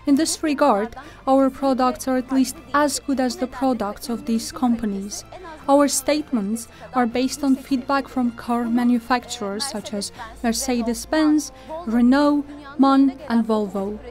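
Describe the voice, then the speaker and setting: Woman, fairly high voice, formal company representative, deliberate scripted delivery, soft music underneath. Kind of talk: scripted corporate presentation. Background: music bed.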